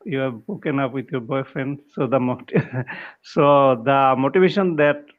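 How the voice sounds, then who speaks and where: Slow-spoken man on a muffled call. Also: mid-range voice. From India. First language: regional Indian language. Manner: slow, halting, with many uhs and short agreements. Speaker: English learner. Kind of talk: casual lesson conversation. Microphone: video call. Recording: dull lo-fi call audio.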